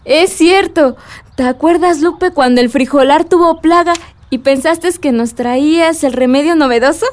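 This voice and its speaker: A woman's voice: high-pitched, moderate pace, close to an everyday voice. A woman playing a cheerful, enthusiastic village organizer with a chatty, exclamatory manner in a radio drama. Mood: teasing, amused.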